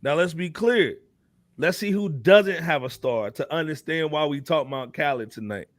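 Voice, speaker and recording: An American man in his forties hosts a casual hip hop talk show. His voice sits a little high, with a dry, close sound. He talks in slang and hammers key phrases by repeating them. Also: normal speed, no echo. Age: 40s.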